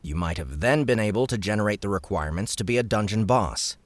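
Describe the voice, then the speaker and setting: Deep, laid-back man playing a would-be villain. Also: animated, quick pace, dry recording, lightly acted. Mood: explaining. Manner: explanatory, matter-of-fact. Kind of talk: audiobook character acting.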